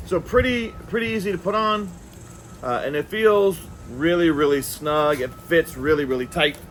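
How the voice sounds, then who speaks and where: A man giving a casual hands-on product review, talking in a halting way and repeating words as he goes. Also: on the high side, a bit bright, slight background noise.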